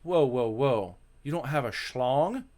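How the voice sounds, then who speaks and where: A man's voice: medium pitch, expressive, slow, darkish tone. A man voicing a blunt, teasing friend in a visual novel. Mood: shocked.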